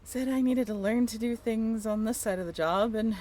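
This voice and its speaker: A woman's voice: fairly high pitch, normal speed, dark tone, lightly acted. A young woman playing a friendly, teasing sailing-ship crew member in an amateur audio roleplay, chatty and informal with a joking streak. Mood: friendly, bashful. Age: young adult.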